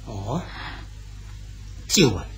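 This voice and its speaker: A man's voice: lowish voice, extremely slow, soft background music, exaggerated voice. An actor playing an exiled male poet official in his sixties, easygoing and consoling in a radio drama. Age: 60s. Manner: calm, good-humoured, consoling.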